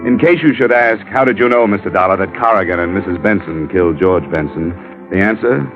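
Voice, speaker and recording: A hard-boiled American private investigator in a vintage radio drama. His voice sits low, with a clipped, wry delivery that stays sure of itself. The sound is muffled old broadcast audio with music clearly behind it. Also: a little fast. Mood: wry, satisfied. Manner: clipped, wry, matter-of-fact. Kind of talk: 1940s-50s radio detective acting and first-person narration.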